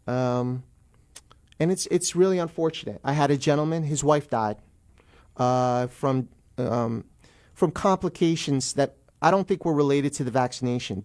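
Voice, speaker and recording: A man's voice: mid-range voice, measured pace, darkish tone. Middle-aged man explaining as an expert guest. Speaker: guest attorney on a radio show. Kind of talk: interview, explaining legal procedure.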